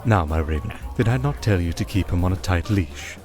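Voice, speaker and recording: A man playing a scheming, refined vampire archduke in an ASMR audio drama, speaking in a measured, formal, condescending way. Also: low voice, lively intonation. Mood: quietly commanding. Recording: music bed under the voice.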